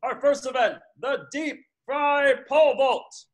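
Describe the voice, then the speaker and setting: A man playing a showy, easily amazed cartoon contest announcer with a dramatic delivery. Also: extremely high pitch. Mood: ceremonious. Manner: drawn-out, dramatic. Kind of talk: cartoon sports commentary.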